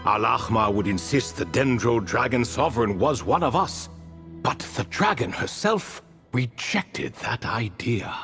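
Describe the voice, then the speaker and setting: A man's voice: mid-range voice, expressive, measured pace, vocal fry, fairly bright tone, soft background music. A man voicing a proud, ancient ibis king in a video game, telling stories in a formal, nostalgic, theatrical way. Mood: nostalgic, solemn. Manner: theatrical, rambling, formal storytelling. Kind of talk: video game character acting.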